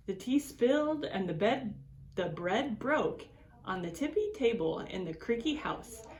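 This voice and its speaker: Woman for children's read-aloud: unhurried educator, swooping expressive delivery, mid-pitched voice, words stretched for effect. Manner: deliberate, expressive storytelling with drawn-out words and swoops. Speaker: extension educator reading aloud to schoolchildren.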